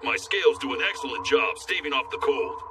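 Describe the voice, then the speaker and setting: A man's voice: mid-range voice, fairly quick, recorded from a distance, background noise, natural voice. A man playing a courteous scaled demon warrior, a father, with formal, dignified speech in an anime dub. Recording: music behind the voice.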